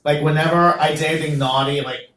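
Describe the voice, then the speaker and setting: A young man doing a stand-up comedy set as the host of an open mic, animated and jokey, with loud bursts on the punchlines. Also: a little high, fast talker, roomy sound, a little hiss or hum. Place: small comedy venue.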